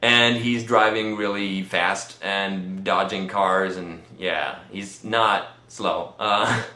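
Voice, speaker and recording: A man with a Swedish accent telling a personal story in a casual, rambling vlog style, halting and pausing often as he goes. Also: deep voice, steady tone, bright, forceful tone, reverberant. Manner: halting, conversational delivery with many pauses. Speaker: vlogger.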